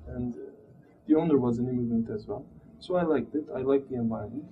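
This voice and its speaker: A man in his thirties with a Turkish accent, speaking haltingly and searching for words as he reflects in an interview. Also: mid-range voice. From Turkey. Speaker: interviewee, immigrant telling personal story. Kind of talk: reflective personal interview testimony. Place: small plain room indoors. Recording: dull muffled sound, background room noise.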